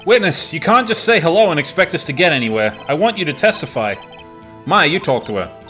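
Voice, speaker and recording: A man's voice: on the high side, very animated, brisk, sharp tone, faint music behind, voice pushed up. A man voicing an earnest, insistent young defense lawyer arguing emphatically in an amateur video game dub. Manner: emphatic courtroom argument.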